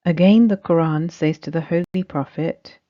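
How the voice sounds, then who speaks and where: A woman's voice: deep voice, moderate pace. A woman reading a scripted religious study text aloud as narration. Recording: dull, muffled lo-fi recording.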